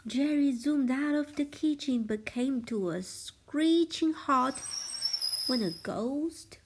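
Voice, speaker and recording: A woman reading a children's storybook aloud as a parent would, expressively, with a hushed sense of suspense. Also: high voice, slow, slight background noise.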